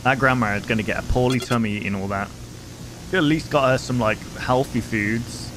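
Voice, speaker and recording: A man's voice: mid-range voice, brisk, background noise. A man in his twenties hosting a reaction video, chatting casually and rambling in a conversational way, close on a desk microphone. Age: 20s. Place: small home room, indoors. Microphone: close desk microphone with headphones.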